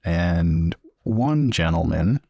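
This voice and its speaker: A man improvising in character and narrating as a player in a tabletop game, measured and careful. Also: sits low, lively intonation, creaky, warm tone, husky, dry recording. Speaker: player in a tabletop role-playing podcast.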